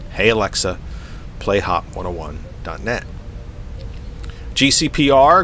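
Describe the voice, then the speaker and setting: A man hosting a live radio talk show, congested and sniffly from allergies, speaking deliberately and repeating phrases for emphasis. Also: low-pitched, a bit bright, slight background noise. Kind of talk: live internet radio talk, program announcements.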